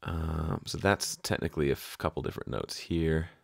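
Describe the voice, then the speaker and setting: A man's voice: rumbling low, moderate pace, vocal fry, husky, no echo, clean studio sound. A man hosting an audio production tutorial in casual, conversational talk, thinking aloud as he goes.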